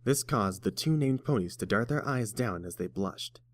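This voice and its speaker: A man's voice: on the low side, animated, normal speed, no room sound, studio quality. A man reading scripted story narration as the narrator.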